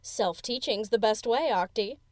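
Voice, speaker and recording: A woman's voice: a little high, measured pace, darkish tone, natural voice. A woman voicing a cheeky, cocky female DJ pony in an audiobook, casual, slangy and teasing. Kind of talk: audiobook character acting.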